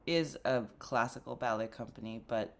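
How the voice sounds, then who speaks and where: Very low-voiced woman, reflective and halting. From Philippines. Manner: reflective, lots of fillers and restarts. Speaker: dancer and artistic director. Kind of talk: casual interview conversation.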